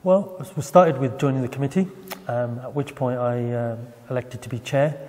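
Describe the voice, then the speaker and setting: Mellow-voiced man explaining his shop. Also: medium pitch, normal speed. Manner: calm, explanatory, with many fillers. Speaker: shop owner. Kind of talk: on-site interview. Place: shop and community hall, indoors.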